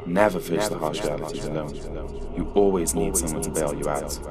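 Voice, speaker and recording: A man's voice: rumbling low, steady tone, brisk. A man playing the solemn, wise ghost of a dead friend in a fan audio drama. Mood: solemn, advising. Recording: loud music over the voice.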